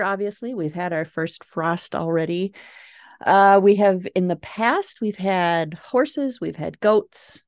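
A woman's voice: fairly low pitch, dry recording, studio quality. A chatty woman in her forties from Minnesota with a Minnesota accent, a guest talking casually in an interview. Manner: chatty, laughing easily, with filler uhs.

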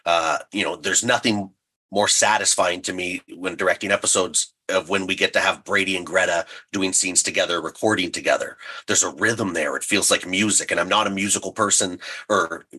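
A man with a very low, forceful voice talks as a podcast guest. He is effusive and enthusiastic, speaking casually about his craft as a writer and director. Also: steady tone, fairly quick, husky. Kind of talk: casual interview chat.

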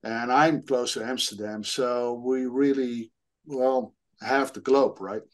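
A middle-aged man from the Netherlands speaks English with a Dutch accent, his pitch sitting in the middle. He chats on a panel in a loose, jokey way, poking fun at himself and laughing often. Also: fairly even intonation, slow. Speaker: guest developer and coach. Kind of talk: casual panel chat.

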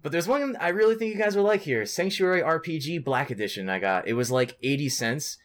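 A man co-hosting a podcast in casual, excitable chat about video games, with slangy, sweary talk. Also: a little high, a little fast. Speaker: podcast co-host.

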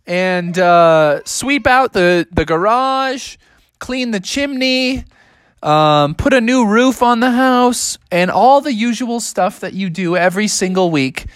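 A storyteller voicing bear parents who reel off chores in a high, drawn-out voice. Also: lively intonation, no echo, clean studio sound. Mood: bossy. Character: parents bossing their cub about chores.

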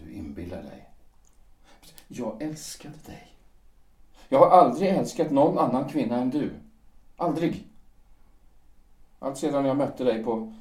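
A man's voice: medium pitch, a little mellow, close to an everyday voice. An actor playing a man who pleads with a former lover, faltering and restarting as he speaks, recorded at a distance in a roomy space. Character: aging male poet pleading over lost love. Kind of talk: audio drama acting.

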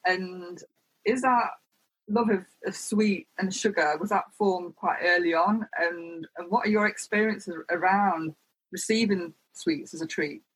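A woman interviewer who asks gentle, probing questions and often repeats words as she works them out. Her voice is fairly low and a little husky. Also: measured pace, poor audio. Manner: thoughtful, hesitant, repeats words while forming questions.

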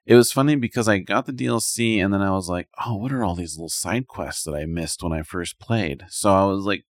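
Low-voiced man chatting casually. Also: fairly quick, dry recording, clean studio sound. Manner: rambling, colloquial, peppered with "like". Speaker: podcast co-host. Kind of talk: casual chat about video games.

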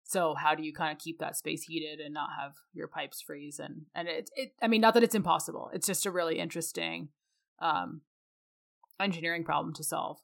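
Female podcast co-host, Canadian accent, lower-pitched voice, casual conversational explainer. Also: fairly even intonation, brisk, vocal fry. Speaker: mechanical engineer.

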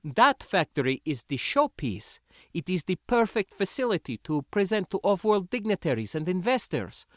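Man voicing a fiery, high-pitched young rebel woman. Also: sing-song, moderate pace, fairly bright tone, no echo, studio quality. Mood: dismissive.

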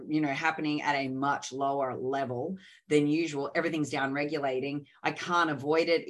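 A woman co-hosts a podcast over a video call. She talks in a chatty, emphatic, open way. Her low voice is still a little worn from a sore throat. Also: a bit bright. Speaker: podcast co-host. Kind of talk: casual chat.

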